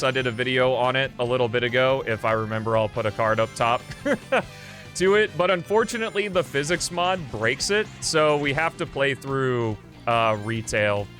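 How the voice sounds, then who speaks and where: A man streams a video game and talks through it casually. His voice sits at a middle pitch, and he rambles in an offhand way, thinking aloud. Faint game music plays behind him. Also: a bit bright. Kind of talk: casual live gameplay commentary.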